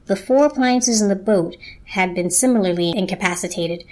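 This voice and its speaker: A woman reading scripted story narration as a narrator, in a measured, literary storytelling manner. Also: medium pitch, a little mellow.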